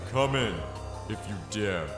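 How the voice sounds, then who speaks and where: A taunting, sinister spirit of a teenage boy. He speaks theatrically and with cocky menace in an audio manga, his voice echoing over prominent music. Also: lowish voice. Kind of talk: dramatic audio manga acting.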